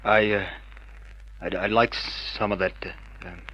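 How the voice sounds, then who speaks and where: An actor playing a shifty, surly small-time crook in an old radio crime drama, with a curt, hesitant way of talking. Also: male voice, fairly low pitch, steady tone, measured pace, sharp tone. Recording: dull vintage radio drama recording.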